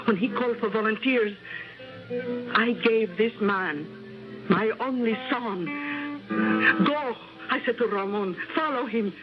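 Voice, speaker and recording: A woman playing a passionate old peasant mother with a Latin American Spanish accent, halting and theatrical, in old-time radio drama style. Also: medium pitch, dull audio, close to an everyday voice. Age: older. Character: passionate old peasant mother, fiercely loyal, later vengeful. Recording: band-limited old radio recording, music behind.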